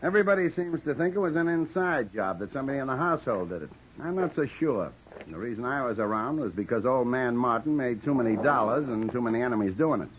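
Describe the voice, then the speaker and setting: A man's voice: medium pitch, expressive, quick pace, lightly acted. A man playing a guarded, cynical private detective working as a bodyguard in an old radio noir drama. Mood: guarded, confiding. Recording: dull old radio recording, faint noise.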